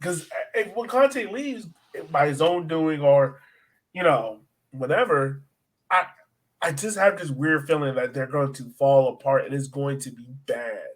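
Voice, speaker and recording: Higher-pitched man chatting about soccer. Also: unhurried. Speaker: podcast co-host. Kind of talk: casual soccer discussion.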